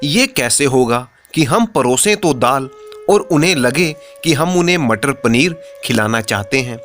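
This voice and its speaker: A man's voice: mid-range voice, animated, unhurried, fairly bright tone, faint music behind. A man voicing a stingy, grumbling wife in a comic storybook tale. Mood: puzzled.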